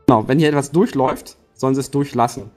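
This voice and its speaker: A man's voice: mid-range voice, fast talker, a little mellow, soft background music. A man playing a tabletop game, working out a battle plan aloud and restarting his sentences as he thinks. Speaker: player. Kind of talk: tabletop strategy discussion.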